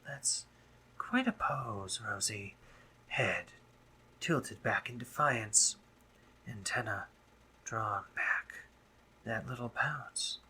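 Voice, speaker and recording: A man playing a doting, teasing gentlemanly spider-monster painter in a romantic roleplay audio drama, with genteel phrasing, hesitant pauses and drops into whispers. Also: on the low side, lively intonation, a little hiss or hum, heavily acted, poor audio. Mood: teasing, affectionate.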